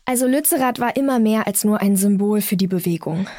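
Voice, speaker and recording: A woman's voice: medium pitch, fairly quick, warm tone, no echo, studio quality, natural voice. A young woman playing an earnest, defiant climate activist who argues in a colloquial way in naturalistic audio drama. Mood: earnest conviction.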